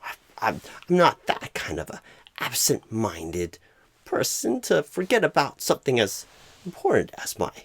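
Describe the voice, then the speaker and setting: A man playing a clueless, flustered job recruiter in an ASMR comedy roleplay, stammering and repeating himself in a husky, halting voice. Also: mid-range voice, huge pitch swings, fairly bright tone, heavily acted. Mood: rambling, earnest. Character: flustered, clueless, absent-minded male job recruiter, apologetic and rambling. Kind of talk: ASMR comedy roleplay, celebrity-style impression.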